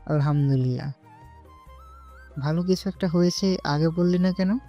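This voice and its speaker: A man's voice: a little high, moderate pace, soft background music, close to an everyday voice. A man playing a blunt, joking and supportive young friend in an audio story, recorded slightly muffled. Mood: pleased.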